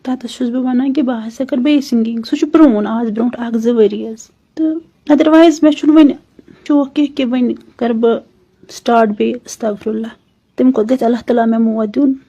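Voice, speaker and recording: Female, Kashmiri accent, lo-fi phone recording, high mellow voice, pleading personal appeal with repeated requests. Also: steady tone, normal speed, breathy edge.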